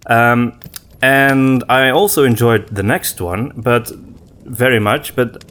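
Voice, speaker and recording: A man co-hosting a podcast in casual conversation, reflective and often pausing to search for his words. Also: mid-range voice, fairly bright tone. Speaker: podcast co-host.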